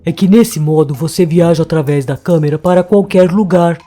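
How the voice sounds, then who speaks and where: A woman's voice: on the low side, normal speed, warm tone, soft background music, natural voice. A woman with a Brazilian accent voicing a fretful, kindly male inventor in a children's cartoon. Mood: earnest.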